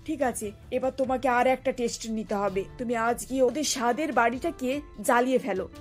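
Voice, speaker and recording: A woman plays an authoritative cartoon mother-in-law, a mature lady with a fairly high voice. She speaks in a measured, commanding way, with some music playing behind her. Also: lightly acted. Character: commanding older mother-in-law, scheming yet tender. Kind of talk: dubbed cartoon story dialogue.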